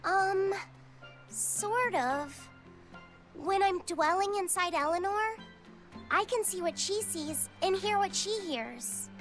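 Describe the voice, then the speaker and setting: A woman's voice: very high-pitched, lively intonation, slow pace, a bit bright, soft background music, exaggerated voice. A woman voicing an earnest, innocent young boy, shy and curious, in a video game. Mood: shy, thoughtful.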